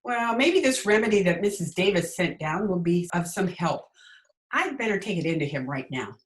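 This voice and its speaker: A woman playing a wry, wisecracking high school English teacher and narrator in an old-time radio comedy, dry and sardonic, on a noisy remote recording. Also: big pitch swings, normal speed. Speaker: lead character and narrator.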